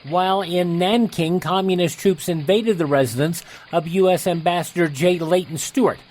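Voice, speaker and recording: A man's voice: high-pitched, normal speed, a little mellow. A man hosting a radio show, reading old headlines as a scripted announcer. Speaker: radio host and news reader.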